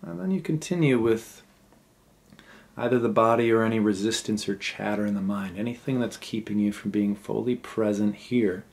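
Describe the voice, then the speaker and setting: Low-voiced man guiding a meditation. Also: gravelly, a little hiss or hum. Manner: gentle, unhurried, pausing between short phrases. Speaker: meditation guide. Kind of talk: guided meditation, unscripted.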